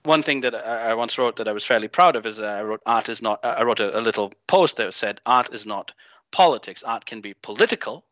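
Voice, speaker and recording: A man's voice: medium pitch, animated, fairly quick, a bit bright, no room sound. A man who grew up in Greece, a guest in a casual interview, talking bluntly with swearing and plenty of ums and restarts. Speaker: podcast guest. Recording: slightly muffled dry recording.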